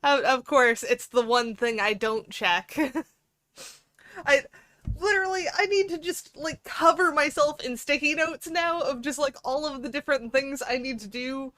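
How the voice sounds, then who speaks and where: A woman's voice: high-pitched, unhurried, fairly bright tone. A woman hosting a livestream, chatting casually with viewers in a playful, giggly, rambling way. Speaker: livestream host.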